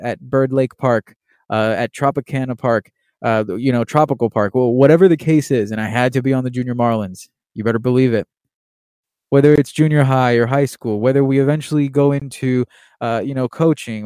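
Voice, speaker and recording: Mellow, fast-talking young man from Miami. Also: medium pitch, smooth, dry recording. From Miami, Florida, USA. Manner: quick, earnest, with frequent fillers. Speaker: podcast host and baseball writer. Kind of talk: casual monologue.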